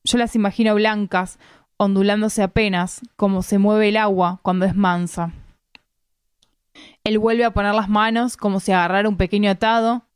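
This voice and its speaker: A woman from Argentina with an Argentine Spanish accent reads a literary first-person story aloud in measured, deliberate phrasing. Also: medium pitch, steady tone, smooth, no echo, clean studio sound. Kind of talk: literary narration, read aloud.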